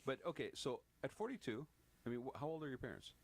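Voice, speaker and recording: A man's voice: mid-range voice, sing-song, fast, vocal fry. A man in his fifties co-hosting a casual panel talk, often restarting words and ending points with a "right?" tag. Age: 50s. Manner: repetitive restarts, rhetorical "right?" tags. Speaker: podcast co-host.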